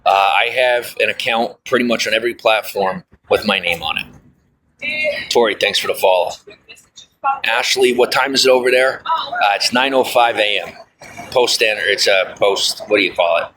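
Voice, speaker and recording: Bright-voiced rambling male livestreamer. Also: fairly low pitch, sing-song, normal speed, poor audio. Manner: rambling and chatty, many fillers and asides; folksy, often says "I'll tell you what". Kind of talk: casual livestream chat reading viewer comments.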